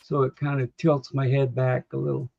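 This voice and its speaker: A man's voice: medium pitch, flat delivery, moderate pace, vocal fry. A man co-hosting a casual livestream chat, laid-back with brief replies, heard over a dull lo-fi remote line.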